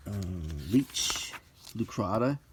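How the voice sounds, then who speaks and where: A middle-aged man giving halting, offhand commentary as he leafs through books, listing items one by one with casual opinions. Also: on the low side, lively intonation, slight background noise. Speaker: presenter of a hobby video. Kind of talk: unscripted casual commentary while leafing through books, unintentional ASMR.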